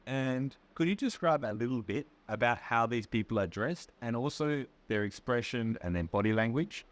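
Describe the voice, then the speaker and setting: A man with an Australian accent, an English teacher interviewing a guest in slow, clear English for learners, patient and encouraging. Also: mid-range voice, lively intonation. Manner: patient, encouraging, checks and repeats answers.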